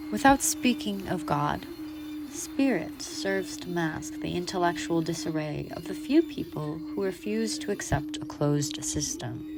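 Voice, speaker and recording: A woman giving a deliberate, solemn dramatic reading of literary prose. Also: lowish voice, low-quality recording. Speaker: reader. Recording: music behind the voice. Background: music.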